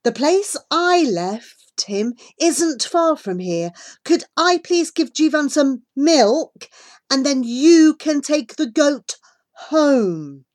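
A woman acts out a young child traveller from an audiobook adventure. The child is desperate and earnest, pleading urgently. The voice sits higher and pushes hard on the words. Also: very animated, takes it slowly, a little mellow, full character voice.

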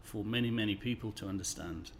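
A man in his sixties from the English Midlands with a neutralised accent, a guest telling stories in a friendly, measured way in an office interview. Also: lowish voice, a loud crowd. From England, Midlands. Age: 60s. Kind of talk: conversational interview storytelling.

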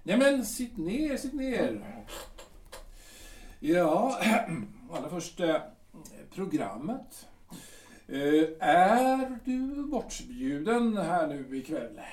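A man's voice: high-pitched, very animated, a little mellow. A man playing a bluff, boastful aging artillery captain in an audio drama. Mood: bickering. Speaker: Edgar. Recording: roomy and distant.

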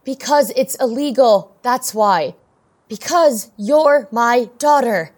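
A woman's voice: fairly high pitch, slow pace. A woman acting in an audio drama as a stern, hurt middle-aged mother, firm and pained. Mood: stern, urgent. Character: stern, hurt mother confronting her daughter.

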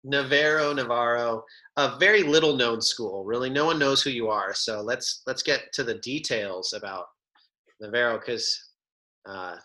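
A man's voice: medium pitch, normal speed, rough recording. A man hosting a casual livestream interview, asking loose questions and cracking jokes. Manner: joking, relaxed questioning. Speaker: host.

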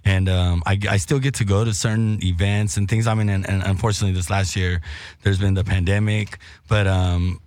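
A middle-aged man with a somewhat low, slightly raspy voice rambles easily through a relaxed conversation, full of slang and restarts. The sound is dry and close, with no room around it. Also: fairly quick, dry recording. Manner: laid-back, rambling with many restarts and slang. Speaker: guest, former radio host. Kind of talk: casual chat.

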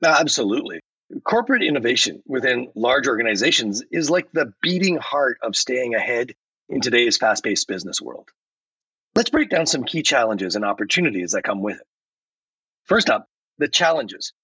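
A very husky man acting as a helpful AI chatbot lays out points in measured, scripted explanations. The delivery is animated and upbeat, heard through a slightly dull recording. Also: full character voice. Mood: upbeat, explanatory. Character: helpful, upbeat AI chatbot guest with chatty similes. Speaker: podcast guest. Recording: roomy, muffled low-fidelity recording.

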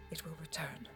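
A grave elven seeress, slow and hushed, breathing out her warnings. She sounds cautious and foreboding in a science fantasy audio drama with a musical score. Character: solemn female elf seer, cautious, foreboding lover of a warrior.

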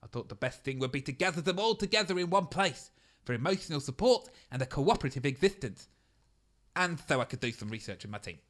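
A man's voice: on the high side, lively intonation, fast talker, fairly bright tone, pitched below the performer's own voice. A man doing a pompous, lecturing scientist. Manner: pompous, explanatory. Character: self-important male scientist, lecturing.

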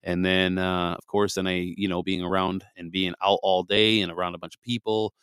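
A man from Michigan hosts a scripture podcast while congested from a cold. His voice sits low and stays smooth, and the close mic is recorded dry. He rambles with many fillers, then slows down to read scripture deliberately. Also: level tone, fairly bright tone, dry recording. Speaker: podcast host. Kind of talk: scripture reading with casual commentary.

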